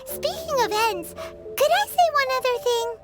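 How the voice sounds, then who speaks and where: A woman voicing a small, excitable childlike fairy companion in a video game, eager and cheeky, with a squeaky voice. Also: measured pace. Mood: pointed, angling. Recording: clear music bed behind the voice.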